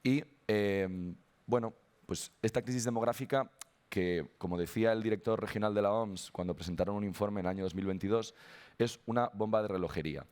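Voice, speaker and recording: A young man with a Spanish accent giving a conference talk, his voice hoarse after an illness, hesitant with frequent restarts. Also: fairly low pitch, brisk, darkish tone. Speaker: conference speaker.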